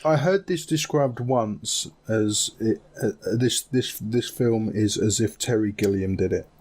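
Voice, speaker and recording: A man's voice: lowish voice, unhurried, darkish tone. A man, talking casually as a panelist on a podcast. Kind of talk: casual panel chat about films.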